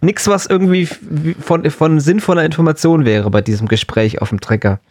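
A man's voice: a little high, fairly quick, a little mellow, dry recording, studio quality. A man chatting in a casual, playful, joking way as a podcast co-host. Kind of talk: casual comedic podcast banter.

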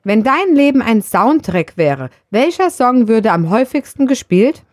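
A woman's voice: a little high, big pitch swings, measured pace, a little mellow. A woman reading out scripted interview questions for a podcast. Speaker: question reader.

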